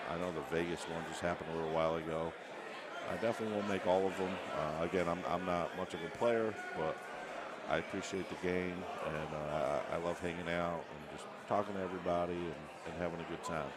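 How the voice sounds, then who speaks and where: A man casually commentating a live tournament stream on camera, relaxed and self-deprecating, often restarting his sentences. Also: deep voice, a little fast, very noisy. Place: busy tournament venue full of players. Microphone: on camera at the event. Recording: loud crowd chatter behind.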